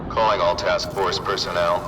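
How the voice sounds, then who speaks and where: An actor playing a stern, authoritarian task force commander in a video game, giving clipped official announcements. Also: male voice, deep voice, flat delivery, moderate pace, natural voice. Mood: cold, authoritative. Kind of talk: video game radio dispatch acting. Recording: distant, loud music behind.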